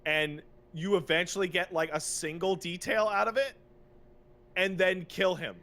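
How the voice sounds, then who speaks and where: A man co-hosting a podcast, ranting casually about video games in an exasperated, animated, sweary way. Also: high-pitched, moderate pace. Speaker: podcast co-host. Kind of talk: casual video game rant.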